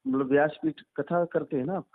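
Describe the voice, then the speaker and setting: High-pitched, animated man on a phone line. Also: brisk, gravelly. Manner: insistent, lecturing, repeats himself. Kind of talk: argumentative phone conversation. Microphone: phone call. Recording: tinny phone-line call recording.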